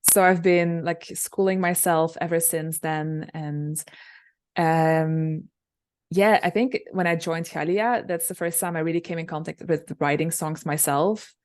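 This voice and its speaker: A young woman singer with a low alto voice, chatting casually over a video call about her music with many fillers. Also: fairly even intonation, a little slow, darkish tone. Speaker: singer and songwriter, interview guest. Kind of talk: casual interview answers.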